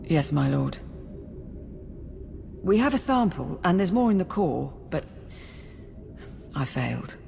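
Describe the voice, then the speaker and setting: A woman's voice: deep voice, big pitch swings, slow, gravelly, pauses often, darkish tone, faint music behind, heavily acted. A woman playing a practical, anxious imperial officer in a video game, speaking deferentially to superiors. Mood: worried, practical. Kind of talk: scripted video game acting.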